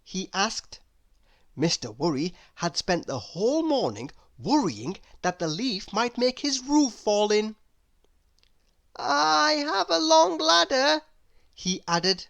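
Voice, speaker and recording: A woman reading a children's story aloud expressively, addressing young listeners with dramatic pauses. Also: mid-range voice, big pitch swings.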